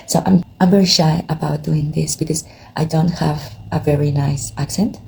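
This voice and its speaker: A woman's voice: low voice, normal speed, creaky, mellow, slight background noise. A woman with a Mexican Spanish accent, a tarot reader talking casually to her viewers, hesitant and tired, with many fillers and restarts. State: tired, has not slept. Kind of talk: casual chat to camera.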